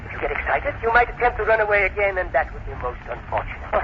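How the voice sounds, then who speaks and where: Crazy old professor villain, high voice, pompous n menacing, old radio drama, dull muffled sound. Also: male voice, rapid-fire. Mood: menacing calm. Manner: pompous, coldly calm, condescending. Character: mad crippled old professor, vengeful, grandiose, menacing. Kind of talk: 1940s radio drama acting. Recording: muffled old radio recording, faint noise.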